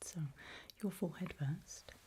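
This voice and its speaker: A woman playing a polite, attentive tailor to monsters in an ASMR roleplay, speaking in a soft, breathy, unhurried whisper with a reassuring manner. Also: on the low side, lightly acted. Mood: soft, courteous. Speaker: ASMR performer.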